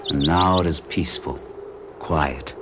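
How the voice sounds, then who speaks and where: A man's voice: extremely low pitch, unhurried, heavy background noise. An actor playing a bewitched middle-aged bachelor in an old-time radio drama, speaking huskily with intense, hesitant confessional delivery. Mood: curious. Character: forty-one-year-old orderly bachelor, bewitched and bewildered.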